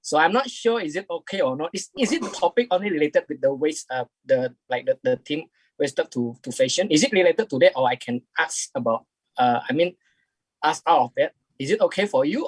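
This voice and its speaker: Guy on a video call, halting, lots of restarts and "right?", dry close sound. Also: on the high side, expressive, breathy edge, no room sound, poor audio. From Indonesia. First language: Indonesian. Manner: halting, many restarts, keeps adding "right?". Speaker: audience member asking the guest questions. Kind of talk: casual question in an online talk.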